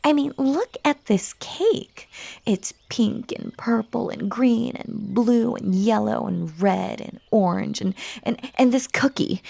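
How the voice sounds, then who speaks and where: A woman playing a clingy, unhinged magical bunny woman in a roleplay audio drama, coaxing and gushing, breathy and dropping into whispers, with stammers and stern turns. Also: fairly high pitch, darkish tone, dry recording, heavily acted.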